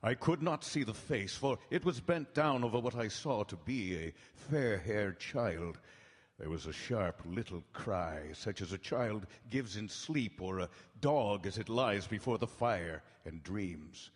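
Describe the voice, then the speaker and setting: A grave doctor telling a dark tale in old-time radio drama style. His phrasing is measured and dramatic, his voice husky, with the long reverb of a live hall. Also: on the low side, expressive. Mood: grave dread. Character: grave doctor recounting vampire horrors from his journal. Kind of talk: live radio drama narration in 1930s-40s manner.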